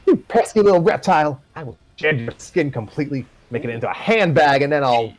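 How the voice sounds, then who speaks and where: A man's voice: on the high side, lively intonation, a little fast, pitched above the performer's own voice, lightly acted. A man voicing an angry, gloating male villain in a read-aloud story. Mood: snarling.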